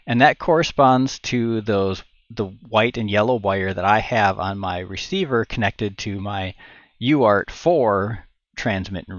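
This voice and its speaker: A middle-aged man giving an informal step-by-step tech tutorial on a headset mic indoors, explaining haltingly as he thinks aloud. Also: lowish voice, no echo, highs cut off. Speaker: tutorial presenter. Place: indoor room at home. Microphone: headset boom microphone.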